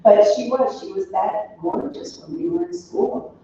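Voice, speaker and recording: A woman's voice: high-pitched, expressive, unhurried, mellow. A woman in her sixties giving an informal, rambling remembrance full of anecdotes and trailing asides, recorded from a distance in a roomy space. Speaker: daughter giving a remembrance at a funeral. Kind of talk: informal spoken eulogy, reminiscing. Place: echoey room, away from the microphone. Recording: roomy, distant, muffled recording with faint noise.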